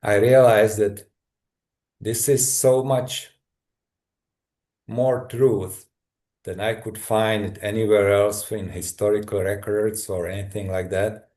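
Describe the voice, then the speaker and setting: A middle-aged man from Czechoslovakia with a Czech accent, a guest telling his story in a halting, thoughtful way with many restarts. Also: fairly low pitch, little pitch movement, darkish tone. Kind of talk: personal story in a conversation.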